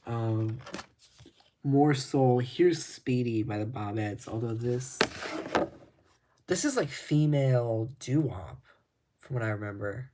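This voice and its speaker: A man showing records from his collection in casual talk, laid-back and rambling, his voice slightly raspy, with frequent ums and restarts. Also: medium pitch, takes it slowly, pauses often, background noise.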